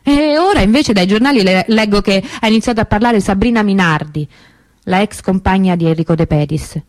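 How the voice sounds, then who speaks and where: Actress playing grieving sister, bitter, measured emphasis, brooding, radio monologue, medium pitch, very dry sound. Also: female voice, no echo, natural voice. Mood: grave recounting.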